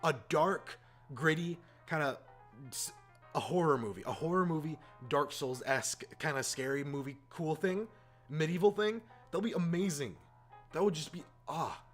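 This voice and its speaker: A man's voice: a little high, moderate pace. A man hosting a casual opinion rant, exasperated and informal, repeating words for emphasis. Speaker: YouTube host. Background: background music.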